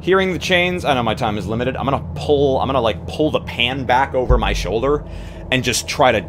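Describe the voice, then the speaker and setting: A man casually describing his moves as a player in a tabletop roleplaying game, thinking aloud haltingly. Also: medium pitch. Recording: live stream with clear background noise.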